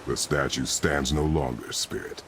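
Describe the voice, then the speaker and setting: Gravelly, extremely deep stern warrior father. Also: moderate pace, a little hiss or hum. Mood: grim. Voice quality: gravelly, rumbling. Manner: terse, commanding, deadpan. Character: stern, weary warrior father, grim and distrustful of gods. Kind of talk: video game cutscene acting.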